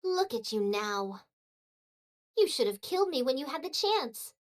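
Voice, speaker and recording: High-voiced, courtly royal retainer. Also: female voice, very animated. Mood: cold, vengeful. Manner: formal, courtly, measured diction. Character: loyal female royal retainer, formal, dry, vengeful. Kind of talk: video game voice acting.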